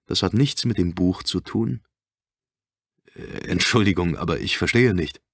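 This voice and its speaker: Thriller audiobook role: cautious, uneasy doctor, low register, restrained and courteous played by a male reader. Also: a little slow, fairly bright tone, slightly rough, no room sound, clean studio sound, full character voice. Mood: puzzled. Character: nervous, polite male psychiatrist, uneasy and cautious.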